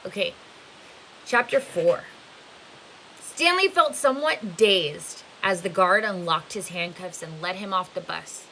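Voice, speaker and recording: Female read-aloud narrator, teacher-like: slightly low pitch, forceful bright tone, expressive storytelling, light hiss. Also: measured pace, poor audio. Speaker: teacher reading aloud to students. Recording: faint hiss behind the voice. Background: faint steady hiss.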